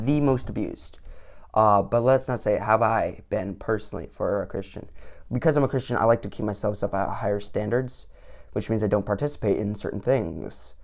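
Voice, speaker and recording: A man's voice: fairly low pitch, creaky, darkish tone. A teenage boy giving sarcastic commentary on an online quiz, with mock-indignant outbursts. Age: late teens. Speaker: vlogger.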